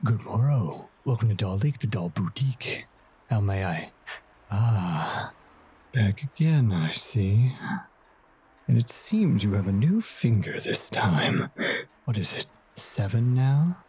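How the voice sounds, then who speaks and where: A man playing a sinister, doting doll shop owner in a horror audio drama, courtly, hushed and unhurried. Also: lowish voice, animated, breathy edge, full character voice. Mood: courtly, knowing.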